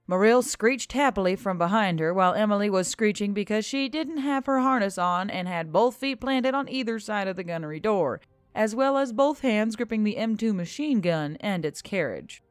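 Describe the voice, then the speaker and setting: A woman's voice: medium pitch, lively intonation, normal speed, clean tone, no room sound, faint music behind. A woman reading scripted fiction as an audiobook narrator.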